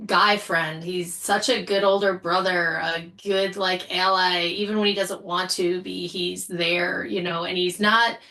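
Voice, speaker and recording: A woman with a slightly low yet bright voice speaks as a panel guest. She is conversational and enthusiastic, explaining her thoughts in casual language. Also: flat delivery, a little slow, hardly any pauses.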